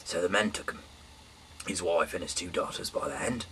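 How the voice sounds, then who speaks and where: A man's voice: deep voice, moderate pace. A man in his thirties reading scripture aloud in an informal but dramatic way, with the odd stumble. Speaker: Bible reader. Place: small dim room indoors. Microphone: camera mic from a little way off. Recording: distant mic, faint background hiss.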